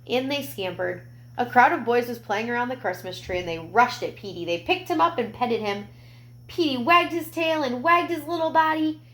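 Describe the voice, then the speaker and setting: A woman reading a picture book aloud to young children, expressive, with gentle explanatory asides. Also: fairly high pitch, normal speed.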